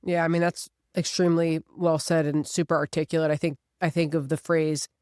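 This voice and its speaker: A woman in her thirties with a clean, smooth voice and a dry, close sound. She talks as a podcast co-host, casual and reflective, stressing her points earnestly. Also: low-pitched, little pitch movement, brisk, a little mellow, no echo. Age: 30s.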